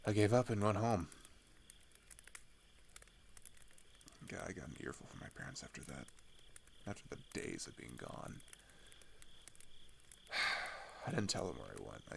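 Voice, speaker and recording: Weary teenage runaway played by a man for intimate audio drama: low-pitched, hesitant, pitch wandering widely, often near a whisper. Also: a little hiss or hum, full character voice. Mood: wistful, subdued. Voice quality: low, gravelly with vocal fry. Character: weary, hesitant teenage boy refugee climbing a mountain.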